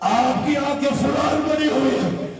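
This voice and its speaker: High-voiced man wailing a sermon. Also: steady tone. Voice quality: high, sing-song wailing delivery that rises to shouts. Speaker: mourning-gathering preacher. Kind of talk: chanted lament sermon. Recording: echoey hall, distant mic, music and crowd.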